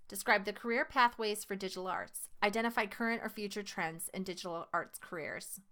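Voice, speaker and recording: A woman's voice: medium pitch, pushed and bright. A woman teacher reading lesson material aloud and explaining it, with casual asides. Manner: instructional, explanatory.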